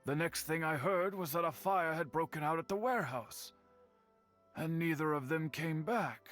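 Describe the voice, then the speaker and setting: A man's voice: fairly high pitch, moderate pace, fairly bright tone, close to an everyday voice. A man playing a stoic, dignified older master swordsmith in a video game, formal and grave, with music behind him. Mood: troubled, resigned. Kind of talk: scripted video game dialogue.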